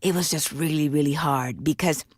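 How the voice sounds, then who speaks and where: Woman from puerto rico chatting openly, kinda low voice, dry close sound. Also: normal speed, breathy edge, no echo. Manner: candid, animated storytelling with frequent restarts. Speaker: guest voice actor and singer. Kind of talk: casual interview.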